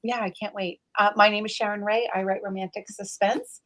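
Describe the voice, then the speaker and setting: A woman's voice: medium pitch, steady tone, a little fast, fairly bright tone. A woman speaking casually as an author and co-host of a livestream book club, over a video call.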